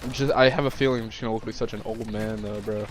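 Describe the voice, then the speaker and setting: A man's voice: fairly low pitch, fairly even intonation, fast talker, slight background noise. A young man with a nasal, congested voice, chatting casually while playing a shooter, rambling with many fillers and restarts. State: congested from sickness. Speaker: gaming streamer.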